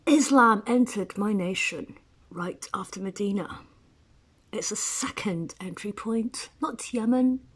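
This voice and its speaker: Husky-voiced woman rambling in a monologue. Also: on the high side. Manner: rambling, with frequent restarts and asides. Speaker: writer. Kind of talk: casual personal monologue.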